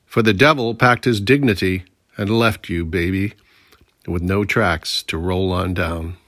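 A man's voice: low voice, measured pace, a bit bright, no room sound, clean studio sound. An American man in his sixties, a poet, speaking from a prepared text in a reading style. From Pittsburgh, Pennsylvania, USA. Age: 60s. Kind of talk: prepared introduction and poetry reading.

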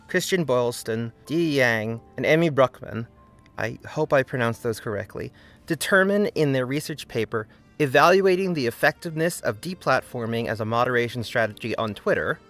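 A man's voice: mid-range voice, fairly bright tone. A man narrating his own scripted video essay, earnest and argumentative, over faint background music.

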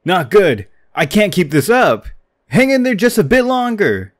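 High, gushing gamer guy, played by a man. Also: unhurried. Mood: strained. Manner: excitable, fawning. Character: eager, gushing male online game guildmates. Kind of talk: manga dub.

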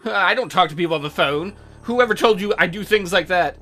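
A man's voice: sits high, fairly quick, sharp tone, soft background music. A middle-aged man giving crude, wisecracking, rambling commentary while playing a game. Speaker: let's play streamer. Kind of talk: joking game commentary and read-aloud.

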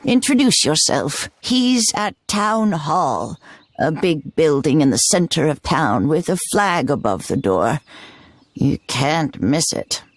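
Game grandma witch: elderly, affectionate, a bit grumbly, unhurried, dry close recording. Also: sits low, big pitch swings, no echo, exaggerated voice. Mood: kindly, instructive. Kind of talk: scripted video game acting.

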